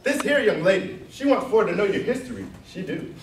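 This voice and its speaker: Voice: mid-range voice, animated, brisk, gravelly. Student performer as a brash, wildly swooping Gryphon creature. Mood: dismissive. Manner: blunt, scolding, folksy ungrammatical talk. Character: brash, mocking Gryphon creature with rustic, ungrammatical speech. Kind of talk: readers' theatre performance. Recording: distant roomy hall recording, background noise.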